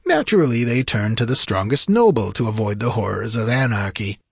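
A man's voice: on the low side, animated, a little fast, gravelly, slightly rough, dry recording, clean studio sound, heavily acted. A man voicing a sly, world-weary, pleasure-loving sorcerer with an eloquent, theatrical manner in a fantasy audiobook. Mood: knowing, explaining.

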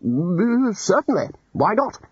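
A man reading aloud and voicing a brisk, hearty elderly male wizard leader. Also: high voice, big pitch swings, slightly rough, no echo, pitched above the performer's own voice, exaggerated voice. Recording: dull lo-fi audiobook recording.

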